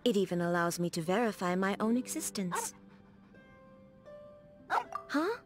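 An actress voicing a serene, dignified female warrior ruler in a video game, speaking formally and with measured composure. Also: mid-range voice, big pitch swings, faint music behind. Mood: resolute, then candid, resolute. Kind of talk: scripted video game character dialogue.

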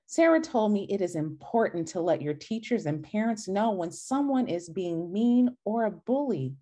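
A woman's voice: medium pitch, very animated, normal speed, mellow. A young adult woman leading a children's storytime, reading and talking in an encouraging way over a video call from a room at home. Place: small indoor room at home. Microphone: video call, laptop microphone.

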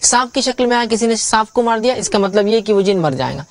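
A man's voice: high-pitched, animated, fast talker, dry recording. Male preacher, middle-aged, studio lecture; insistent, restating every point, dry recording. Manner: repetitive, emphatic explaining with many restatements. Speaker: Islamic preacher. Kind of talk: religious lecture answering a question.

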